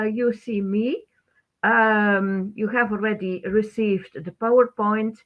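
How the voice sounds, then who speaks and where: Slow-speaking Belgian Dutch woman on thin audio. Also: mid-range voice. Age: middle-aged. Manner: halting, non-native English phrasing. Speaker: webinar host, teacher. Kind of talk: informal webinar presentation. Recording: thin phone-like band-limited webinar audio.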